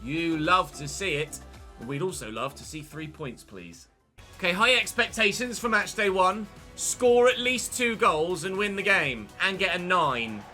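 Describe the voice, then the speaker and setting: A young man narrating his own football game career with a fairly high, very bright, forceful voice. He chats along easily and gets loud and excited on big moments. Faint music plays underneath. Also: unhurried. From England. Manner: chatty, excitable, shouts on goals. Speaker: gaming YouTuber. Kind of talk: live game commentary.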